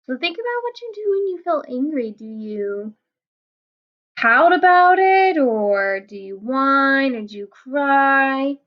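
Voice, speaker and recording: A woman teacher reading a picture book aloud to children, gently explaining words and asking them questions. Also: high voice, lively intonation, slow pace, dull audio.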